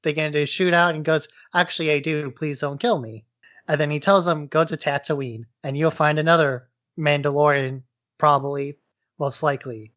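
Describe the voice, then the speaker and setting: A man's voice: fairly high pitch, fairly even intonation, moderate pace, a bit bright. A man co-hosting a podcast with casual, conversational commentary and a wry, informal way of talking. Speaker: podcast co-host.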